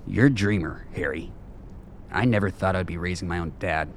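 A man's voice: deep voice, moderate pace, slight background noise. A man acting a bitter, weary middle-aged soldier in a radio play, blunt and profane.

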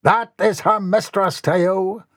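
A man's voice: high voice, fairly even intonation, measured pace, a bit bright, no room sound, studio quality, voice pushed up. A man voicing a boy who plays a haughty, theatrical pirate captain in a story. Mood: commanding. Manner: haughty, commanding, dramatic.

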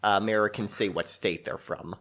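A man's voice: on the low side, steady tone, a little fast, a bit bright. A man co-hosting a podcast in casual chat, chatty and joking, often laughing. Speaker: podcast co-host.

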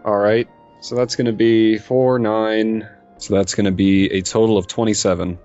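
Man, very low voice, measured, inquisitive tabletop player, muffled recording. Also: little pitch movement, darkish tone. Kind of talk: deliberate in-game questions and chat. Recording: muffled audio, music bed. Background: music.

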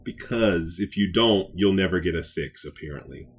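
A man's voice: deep voice, moderate pace, husky. A man co-hosting a casual pop culture podcast, playful and enthusiastic in the way he talks. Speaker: podcast co-host.

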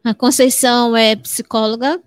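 Older woman, clear high voice. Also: steady tone, moderate pace, no room sound. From Fortaleza, Ceará, northeastern Brazil. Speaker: podcast guest, psychologist. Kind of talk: conversational interview answers.

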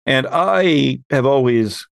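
A man speaks as a podcast host in casual discussion, measured and musing. His pitch is average for a man, and the recording is dry. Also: expressive, vocal fry, dry recording.

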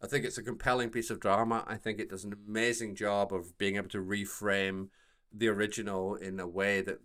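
A man co-hosting a podcast, discussing and critiquing in a casual, halting way, repeating words for emphasis. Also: lowish voice, little pitch movement, a bit bright. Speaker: podcast co-host.

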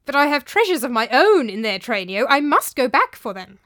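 A woman's voice: high-pitched, sing-song, moderate pace, fairly bright tone, dry recording, studio quality, pitched above the performer's own voice. A woman voicing a gullible, superstitious old master of a household, blustering and emphatic, in a dramatised story. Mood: uneasy.

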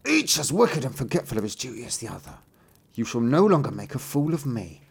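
A man voicing an old tailor from a fairy tale, a hot-tempered, gullible father who exclaims loudly and theatrically. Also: medium pitch, big pitch swings, fairly quick, creaky, slightly rough, heavily acted. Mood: outraged.

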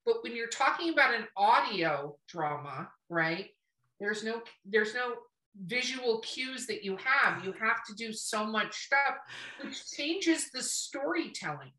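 Lady podcast host chatting casually, bright sharp voice, roomy and a bit far from the mic. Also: a little high, lively intonation, unhurried. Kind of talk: casual, unfiltered interview chat. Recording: echoey room, distant from mic.

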